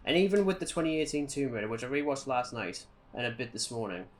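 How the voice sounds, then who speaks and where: Male co-host, medium pitch, off-the-cuff film and game talk, wry and opinionated. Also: sing-song, fast. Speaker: podcast co-host. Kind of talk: casual chat about films and games.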